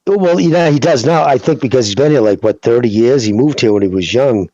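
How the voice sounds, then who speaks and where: A man trading loose, profane banter on a podcast. His voice sits in a middle pitch. The recording is dry and a little muffled. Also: a little fast, warm tone, no room sound. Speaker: podcast co-host. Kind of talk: casual chat.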